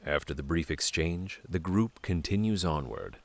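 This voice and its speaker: A man's voice: sits low, expressive, normal speed, creaky. A man narrating a fantasy audio drama in a scripted storybook style. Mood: measured.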